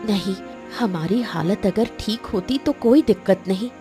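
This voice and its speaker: Woman voicing a bright-edged-free, mellow cartoon village wife. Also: medium pitch, a little slow, soft background music, natural voice. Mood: earnest, wary. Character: village wife and scheming sister-in-law, emotional, calculating. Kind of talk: Hindi cartoon moral story dialogue.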